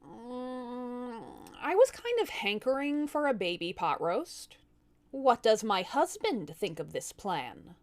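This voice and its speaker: Casting: woman as sweet-toothed, gleeful storybook demoness, brash and mocking, high voice, big swoops. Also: big pitch swings, exaggerated voice. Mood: menacing. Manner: brash, mocking, drawn-out exclamations. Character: jealous, foul-mouthed, gleeful tree demoness with sweet tooth.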